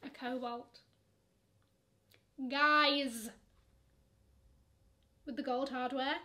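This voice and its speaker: A woman's voice: high voice, fairly even intonation, extremely slow, pushed and bright. A young woman chatting through luxury online shopping, sassy and gushing, calling bags "she" while seated at her camera. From England. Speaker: fashion vlogger. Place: bedroom with shelves of shoes.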